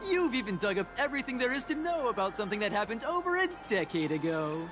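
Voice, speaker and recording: A woman's voice: a little high, very animated, fast, over music. An actress playing a saccharine, pious female recruiter for a happiness cult in a video game, effusive, formal and sanctimonious. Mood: effusive preaching. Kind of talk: theatrical video game voice acting.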